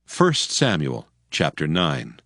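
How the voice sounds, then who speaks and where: A man narrating scripture from a script in a measured storytelling manner. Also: very deep voice, huge pitch swings, fairly bright tone, no echo, clean studio sound, faint music behind.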